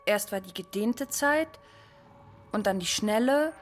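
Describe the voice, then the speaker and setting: A woman's voice: mid-range voice, animated, a little slow, soft background music, natural voice. A woman playing a playful, cautious schoolgirl who questions everything in a childlike way, in a radio drama. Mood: curious, dreamy. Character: doubting, wide-eyed schoolgirl, playful and cautious.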